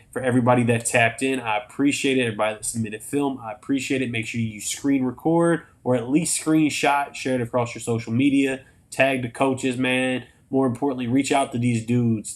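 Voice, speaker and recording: Crackly-voiced American man hosting sports talk. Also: medium pitch. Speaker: host of a live sports show. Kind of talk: casual sports talk on a livestream.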